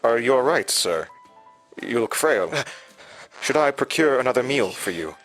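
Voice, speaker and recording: An actor playing an uncanny, eagerly servile hotel manager who speaks in a formal, deferential, butler-like way in a horror audio drama. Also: male voice, mid-range voice, expressive, moderate pace, fairly bright tone, faint music behind, natural voice, rough recording. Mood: solicitous.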